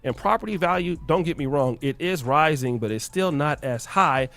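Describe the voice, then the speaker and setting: A man narrating a scripted commentary video in a lively, emphatic explaining manner. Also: a little high, moderate pace.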